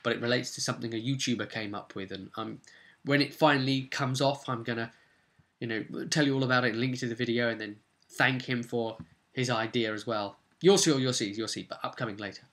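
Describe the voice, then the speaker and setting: A man casually recapping his tabletop game as game master, rambling and breaking off into animated asides to viewers. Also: medium pitch, a little fast. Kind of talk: casual video recap, storytelling.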